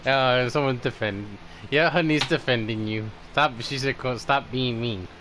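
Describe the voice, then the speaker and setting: A man's voice: medium pitch, a little slow, fairly bright tone, slight background noise. A man hosting a casual gaming livestream, chatting and reacting as he plays. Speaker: livestreamer, host.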